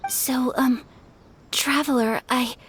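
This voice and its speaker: A woman playing a refined, earnest young noblewoman in a video game, soft-spoken, formal and measured. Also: high-pitched, steady tone, slight background noise. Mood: nervous, hesitant. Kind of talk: video game character dialogue. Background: music.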